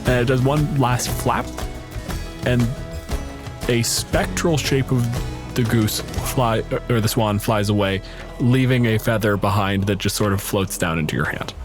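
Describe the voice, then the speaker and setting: A man's voice: medium pitch, measured pace, over music. A man acting as game master, improvising casual narration of the scene for his players.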